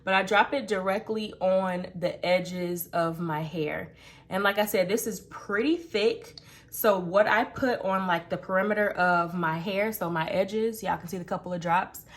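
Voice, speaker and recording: A woman's voice: on the low side, moderate pace. Nasal, congested young woman chatting. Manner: rambling, filler-heavy, frequent "like" and restarts; talks while oiling her hair. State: pregnant. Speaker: beauty vlogger. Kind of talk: casual chat while doing her hair. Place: home vanity room, indoors.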